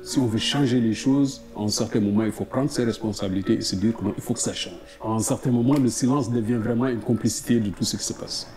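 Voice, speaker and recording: Mauritanian guy being interviewed, lowish voice, earnest and outspoken, music behind him. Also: brisk, hardly any pauses. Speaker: migrant advocate being interviewed. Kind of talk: spontaneous interview testimony.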